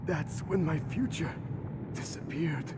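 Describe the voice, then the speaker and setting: A man playing a dying psychic villain in a video game, bitter and theatrical, with a strained, breathy, halting delivery. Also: a little high. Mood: haunted, confiding. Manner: slow, halting, melodramatic monologue. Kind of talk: dramatic video game cutscene acting. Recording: long reverb, clear background noise.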